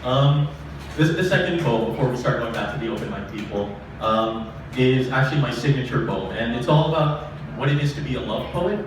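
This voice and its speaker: A man's voice: mid-range voice, lively intonation, brisk. A young man performing spoken-word poetry live at a stage microphone, earnest and rhythmic, with casual asides. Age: young adult. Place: small live open-mic venue stage. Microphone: stage microphone, captured from a distance. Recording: very echoey live room, distant, noisy.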